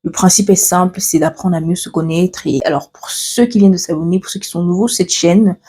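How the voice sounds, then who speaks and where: A woman's voice: on the low side, lively intonation, a little fast, dark tone, husky. A woman in her twenties, from Cameroon, chatting casually about herself in a get-to-know-me vlog, with many fillers and restarts as she checks her notes. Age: 20s. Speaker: vlogger.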